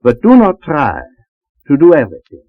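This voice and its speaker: Expressive man on a muffled old disc. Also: a little high, moderate pace. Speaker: foreign trade expert on a discussion panel. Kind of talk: panel interview answers.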